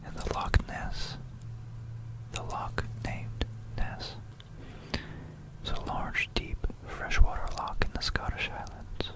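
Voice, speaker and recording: An ASMR host whispering an educational history talk in an unhurried, explanatory manner, close to a stereo microphone. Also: low-quality recording. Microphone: close stereo binaural microphone.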